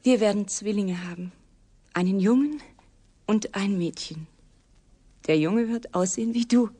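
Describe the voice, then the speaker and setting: Husky, obsessive geneticist. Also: female voice, mid-range voice, a little slow, dark tone. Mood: coolly matter-of-fact. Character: obsessive, coolly unsettling woman geneticist confessing desire. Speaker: actor in a radio drama. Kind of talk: German radio drama acting.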